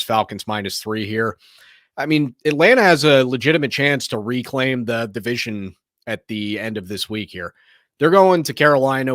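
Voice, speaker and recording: A man with a slightly hoarse, raspy voice talks through his sports opinions in a loose, rambling way, often starting a sentence over. His pitch sits at an ordinary middle level. The sound is dry and close, with no room echo. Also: a bit bright. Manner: rambling and opinionated, frequent restarts. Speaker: sports show host. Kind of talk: casual opinionated sports commentary.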